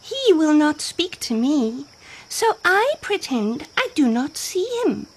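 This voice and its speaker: A woman's voice: high-pitched, very animated, takes it slowly, voice pushed up, exaggerated voice. A woman voicing indignant, complaining gossipy grazing animals in a children's folktale. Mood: huffy. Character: small complaining antelopes and buffalo, indignant gossips.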